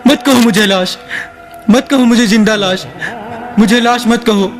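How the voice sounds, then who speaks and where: A man's voice: extremely high pitch, unhurried, soft background music. An actor playing a ragged, tormented man who has lost his identity, stammering and repeating himself in theatrical radio drama style. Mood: pleading denial.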